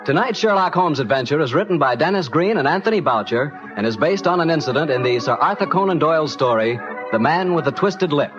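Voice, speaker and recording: An American man working as a radio host and announcer, in 1940s announcing style with a sponsor's sales pitch. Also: lively intonation, a little fast. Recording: dull vintage radio, music behind.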